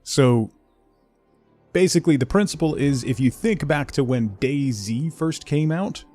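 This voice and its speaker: A man's voice: on the high side, expressive, measured pace, warm tone, faint music behind. A man livestreaming a game with casual, conversational commentary, chatting to viewers in a laid-back way.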